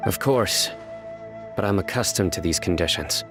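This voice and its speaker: An actor playing a stern, vigilant enforcer in a video game, deadpan, measured and formal. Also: male voice, low-pitched, over music. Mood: stoic. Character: stern, vigilant male desert enforcer, grave and composed. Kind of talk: video game character acting.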